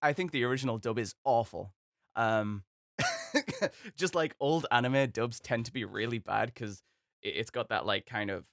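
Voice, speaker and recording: A man's voice: fairly low pitch, a bit bright, dry recording, studio quality. A young man who voices characters as a hobby, talking in a hesitant, self-deprecating, chatty way. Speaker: hobby voice actor. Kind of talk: casual commentary.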